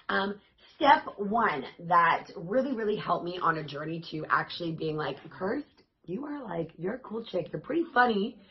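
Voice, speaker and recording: A woman with a raspy, worn-out voice that sits a bit low, chatting to her viewers as an online fitness coach. She stays upbeat and encouraging. The sound is a little dull and lo-fi. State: hoarse, voice worn out. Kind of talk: casual vlog talk to camera.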